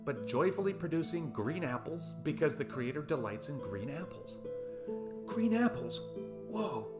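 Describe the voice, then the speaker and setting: A man in his fifties giving a scripted, essay-like talk to camera, asking rhetorical questions with playful emphasis. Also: medium pitch. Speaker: video essayist. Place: small room at home, indoors.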